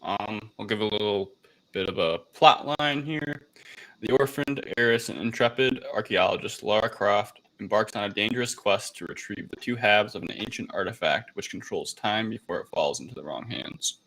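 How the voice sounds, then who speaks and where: A man's voice: fairly low pitch, normal speed, gravelly, sharp tone, low-quality recording. A man co-hosting a casual movie review chat, rambling informally through a plot recap and sometimes swearing. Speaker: podcast co-host.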